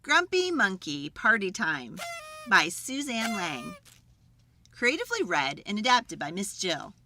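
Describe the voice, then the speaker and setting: A woman narrating children's picture books aloud in an expressive, theatrical way. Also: mid-range voice, measured pace, slight background noise. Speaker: children's read-aloud storyteller.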